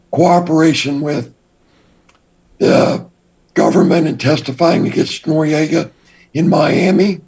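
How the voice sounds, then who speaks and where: An older man with a raspy voice, a guest telling his past story in a measured, deliberate way. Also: on the high side, darkish tone, poor audio. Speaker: interview guest. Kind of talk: recounting his past in an interview.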